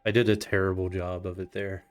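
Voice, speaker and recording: A man's voice: low-pitched, little pitch movement, brisk. A man giving casual live commentary as he speedruns a video game on a livestream.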